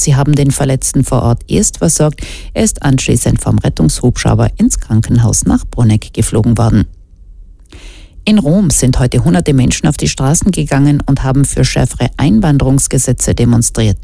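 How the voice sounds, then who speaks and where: Female radio news anchor, very deep mellow voice, scripted bulletin delivery, dry close sound. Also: normal speed, gravelly, no room sound.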